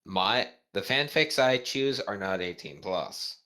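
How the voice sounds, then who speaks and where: Male amateur co-reader, low voice, unhurried and stumbling, casual banter between passages. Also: expressive, sharp tone. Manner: halting, stumbling over words. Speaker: co-host reading fan fiction aloud.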